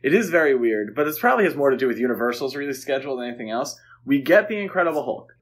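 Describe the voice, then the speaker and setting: Male podcast co-host, average pitch, conversational and opinionated, casual film talk. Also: sing-song, rapid-fire, fairly bright tone.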